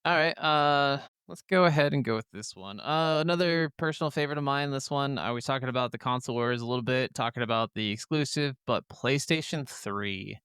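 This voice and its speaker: A man's voice: mid-range voice, brisk, hardly any pauses, fairly bright tone, clean tone, dry recording. A man co-hosting a podcast, chatting casually and informally about video games. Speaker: podcast co-host.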